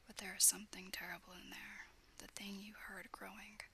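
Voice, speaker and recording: A frightened pirate sailor speaks in a hollow, shaken whisper, read by a woman. The whisper is bright and thin, and its tone stays flat. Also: mid-range voice, normal speed, a little hiss or hum.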